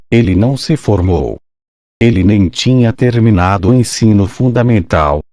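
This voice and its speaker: A man's voice: on the low side, a little mellow, no echo, studio quality. A man with a Brazilian accent reading scripted documentary narration for a video channel.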